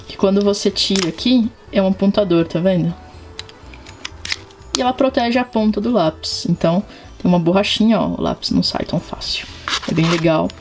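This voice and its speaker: A woman's voice: mid-range voice, a little mellow. A young woman with a Brazilian Portuguese accent, hosting a casual vlog and chatting expressively with frequent asides to her viewers. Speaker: channel host.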